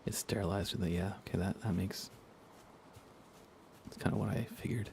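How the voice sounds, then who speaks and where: Low, creaky-voiced man, hushed gaming chat. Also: steady tone, normal speed. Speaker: live streamer, gamer. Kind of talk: casual ASMR gaming chat, replying to live viewers. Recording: clear background noise.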